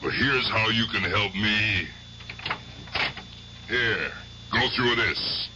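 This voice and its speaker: A man playing an older, gruff and suspicious gangster in a radio drama, talking curt, sarcastic and menacing. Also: fairly low pitch, expressive, slow. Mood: curt, wary. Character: tough aging gangster, suspicious, gruff and threatening. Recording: noisy old radio broadcast recording.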